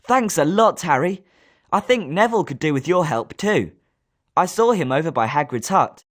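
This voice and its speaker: A man's voice: a little high, expressive, moderate pace, a bit bright. A man playing a British schoolboy wizard in a video game, speaking scripted lines expressively. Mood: friendly, polite. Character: schoolboy wizard student, polite but sometimes sneering.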